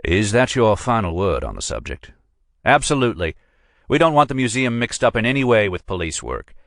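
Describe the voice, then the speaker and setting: A man's voice: fairly low pitch, a bit bright, no echo, clean studio sound, voice pushed up. A man voicing a curt, pompous museum lawyer who talks down to his visitors and turns them away. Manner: brusque, dismissive, pompous.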